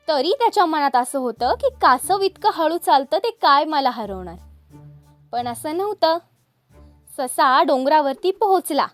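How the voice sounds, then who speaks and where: A woman storyteller narrates for children in a high voice. She takes her time and is expressive, addressing young listeners directly, with faint music behind her. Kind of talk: scripted fable narration for children.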